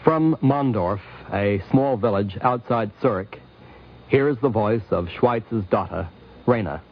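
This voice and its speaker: A man narrating a scripted 1950s radio documentary in deliberate, formal phrases. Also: on the low side, lively intonation. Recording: dull 1950s radio recording.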